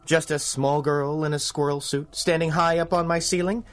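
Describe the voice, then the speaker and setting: A man's voice: on the high side, fairly even intonation, normal speed, natural voice. An actor voicing a pompous, theatrical male criminal mastermind in a 1930s-style radio drama, sneering with mock courtesy. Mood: sardonic.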